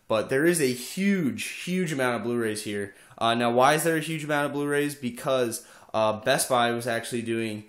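A young man with a stuffy, slightly congested voice from a summer cold chats casually about his latest purchases. His middle-pitched voice runs loose and friendly, full of "uh" fillers. He sits in a slightly echoey room at home, recorded on the camera mic. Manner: chatty, many "uh" fillers. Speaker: video game collector vlogger. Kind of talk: casual show-and-tell.

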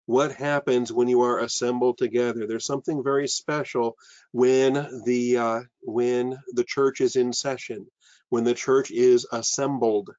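A man's voice: medium pitch, fairly even intonation, a little slow, darkish tone, no echo. An older man, a pastor, teaching a sermon over a video call from a room with bookshelves, earnest and full of fillers and restarts. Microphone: webcam on a video call. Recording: dull lo-fi video call audio.

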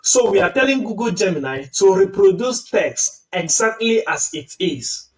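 A man's voice: sits high, big pitch swings, normal speed, far from the mic, poor audio. A man hosting a screen-recorded tutorial, patiently explaining each step. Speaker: tutorial host.

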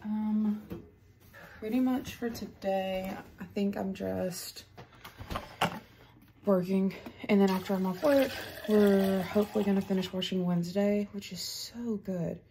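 Young woman, casual vlog chat, vocal fry, tired and rambling, phone at arm's length, slight background noise. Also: medium pitch, steady tone, measured pace, pauses often. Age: young adult. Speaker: vlogger. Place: home kitchen, indoors. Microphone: phone front camera at arm's length.

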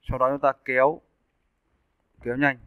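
A man giving a casual, step-by-step software tutorial as an animation instructor. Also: medium pitch, little pitch movement, normal speed, clear, resonant tone, no room sound. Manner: informal step-by-step explaining. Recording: muffled narrowband phone-like audio.

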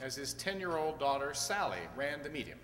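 A man's voice: on the high side, brisk, fairly bright tone, faint music behind, close to an everyday voice. A man giving scripted old-time radio narration as narrator on a hall stage. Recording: very echoey hall stage recording.

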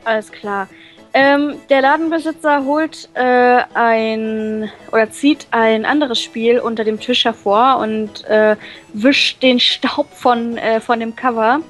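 A woman who is a native German speaker, reading visual novel text aloud and translating and commenting casually, with halting pauses to search for words. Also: on the high side, steady tone. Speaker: let's play co-host. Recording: music playing behind the voice.

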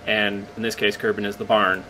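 A man with a slightly croaky voice casually commenting on his gameplay, rambling and poking fun at himself as he explains. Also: on the low side, little pitch movement, fairly quick, pushed and bright, a little hiss or hum, rough recording. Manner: rambling, self-deprecating, explains as he plays.

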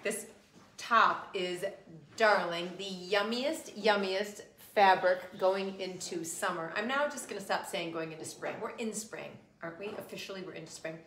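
A woman's voice: medium pitch, moderate pace, a bit bright. A woman in her forties co-hosting a live shopping show from a home kitchen, bubbly and gushing as she shows off clothes. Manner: bubbly and gushing, laughs easily. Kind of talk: casual live-stream chat and fashion pitch. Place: large home kitchen, indoors. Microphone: camera mic at a distance. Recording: echoey kitchen, faint background noise.

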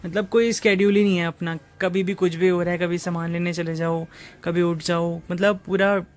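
A man's voice: sits high, little pitch movement, normal speed. A man, giving an informal vlog tour, chatting straight to viewers. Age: 20s. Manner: informal, conversational, addressing viewers. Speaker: vlogger. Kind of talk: casual vlog tour narration. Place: home rooms, courtyard and small shop. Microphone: phone at arm's length. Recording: handheld vlog with clear background noise.

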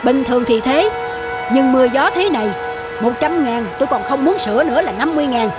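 Casting: grumpy middle-aged mechanic for a cartoon, curt and grumbling, over music and rain. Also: male voice, very high voice, animated, brisk. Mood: dismissive. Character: gruff, grumpy, big middle-aged bicycle repairman. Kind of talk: cartoon dialogue.

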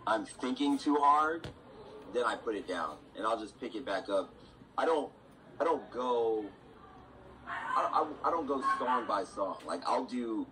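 A man hosting a podcast, chatting casually in an informal, slangy way. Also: on the low side. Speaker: podcast host. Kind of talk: casual chat. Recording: clearly noisy background ambience.

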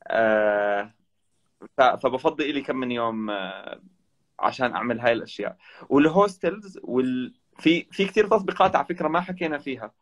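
A young man, a travel vlogger guesting on a live video call from indoors, telling stories in a relaxed, animated way. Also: medium pitch, fairly quick. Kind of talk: casual live chat. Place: indoor room. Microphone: video call, webcam.

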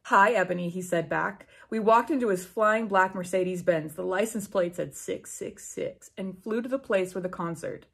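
A young adult woman reading fan fiction aloud with animated, sarcastic casual commentary and free swearing. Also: medium pitch, normal speed, gravelly, few pauses, husky. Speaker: book video host. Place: small room at home with bookshelves.

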